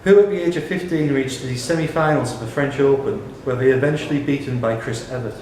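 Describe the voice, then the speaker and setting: A man's voice: medium pitch, fast, noisy background. A man acting as quizmaster, reading out questions in a scripted, question-and-answer style. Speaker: quiz question reader and host. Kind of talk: reading quiz questions aloud. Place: roomy pub during a quiz tournament. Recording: echoey pub room with crowd chatter.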